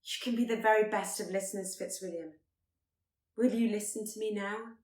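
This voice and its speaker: A woman voicing a headstrong, sharp-tongued young gentlewoman in a period romance audiobook, formal and pointed. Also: medium pitch, steady tone, moderate pace, echoey room, pitched above the performer's own voice, lightly acted. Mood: insistent.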